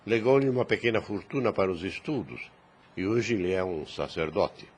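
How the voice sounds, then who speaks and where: A man playing a grave, kindly older priest and uncle in an audio drama, speaking in a measured, formal, fatherly way. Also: fairly low pitch, expressive, a little mellow. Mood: grave, reflective. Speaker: voice actor. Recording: clear background noise.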